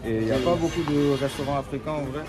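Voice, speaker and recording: A man's voice: fairly high pitch, fast, loud music behind the voice. A young man, a rapper raised in Germany, chatting casually outdoors on city streets, laid-back and slangy with constant filler tags. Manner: relaxed slangy talk with frequent tags. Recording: loud street noise with traffic.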